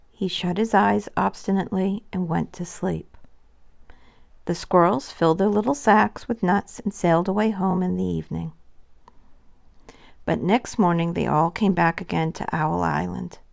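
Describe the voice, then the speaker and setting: A woman's voice: fairly low pitch, steady tone, a little slow, creaky, a little mellow. A woman narrating a scripted children's story as a storybook reader.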